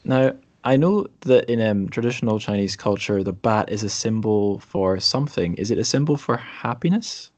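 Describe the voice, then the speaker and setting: A man's voice: on the low side, normal speed, few pauses, a little mellow, no room sound. A man hosting a podcast in a casual, conversational interview style, thoughtful and a little tentative. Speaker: podcast host.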